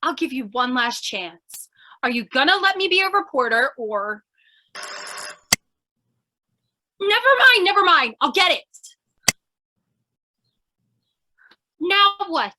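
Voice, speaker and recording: Woman playing a feisty, high-pitched, bright girl reporter. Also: big pitch swings, normal speed, slight background noise, full character voice. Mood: indignant. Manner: insistent, exclamatory, theatrical. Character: feisty, pushy young female aspiring reporter, impatient fiancée. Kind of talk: old-time radio comedy acting.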